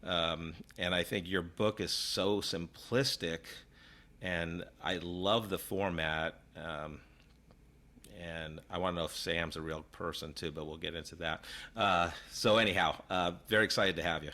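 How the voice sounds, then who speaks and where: A man's voice: sits low, steady tone, a little slow, bright, forceful tone. A man in his fifties from New York, hosting an interview podcast and talking in a rambling, casual way with many fillers and restarts. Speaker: podcast host.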